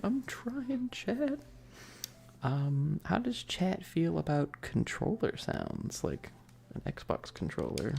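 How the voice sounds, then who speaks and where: A woman speaks in a low, heavily fried voice with a soft, mellow tone. She chats playfully with her livestream viewers, circling back on her questions in a teasing way. The sound is that of a casual ASMR stream. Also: huge pitch swings, takes it slowly, gravelly, a little hiss or hum.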